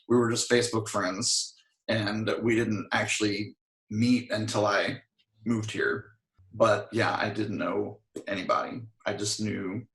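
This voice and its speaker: A man talks loosely in an interview, circling back with fillers and restarts as he goes. His voice is a little hoarse from singing, and it rings around a roomy space. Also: on the low side, fairly bright tone. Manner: rambling, frequent "I mean" fillers. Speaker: interview guest, singer. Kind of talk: casual interview talk.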